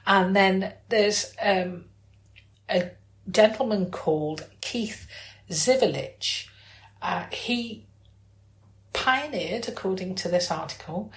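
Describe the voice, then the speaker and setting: A woman talking in a casual, chatty and informal way, often searching for words. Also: on the low side. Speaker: podcast host. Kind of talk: casual chat. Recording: echoey room.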